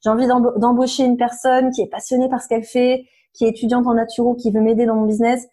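A woman in her thirties speaks as an interview guest, her voice slightly hoarse yet mellow and warm. She sits fairly high in pitch and talks frankly and animatedly, full of little fillers and restarts. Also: little pitch movement, fast talker. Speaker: interview guest, nutrition therapist. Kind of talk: casual conversational interview.

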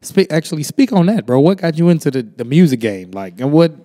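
A man hosts a podcast with a dark, mellow voice. He jokes and teases in loose slang, recorded on a desk mic in a small studio room. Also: fairly high pitch, big pitch swings, a little fast. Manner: joking, teasing, slangy. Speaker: podcast host. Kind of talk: casual podcast chat. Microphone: desk mic on stand.

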